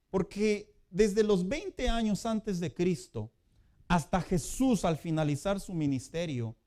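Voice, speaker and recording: A man preaching a sermon as pastor in a conversational way, building to loud emphatic peaks. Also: high-pitched.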